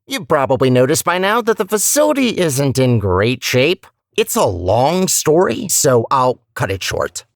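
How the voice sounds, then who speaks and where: A chatty robot guide from a video game, sardonic and scheming under nervous cheer. The pitch swings widely as it rambles wryly, and the sound is close and dry. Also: male voice, fairly bright tone, no echo. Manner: rambling, wry, self-interrupting.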